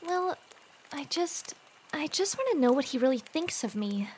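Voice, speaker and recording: A woman's voice: high voice, sing-song, normal speed, a little hiss or hum. A woman playing a sensitive, lovelorn teenage schoolgirl, earnest and girlish, in a fan audio drama. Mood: wistful, frustrated.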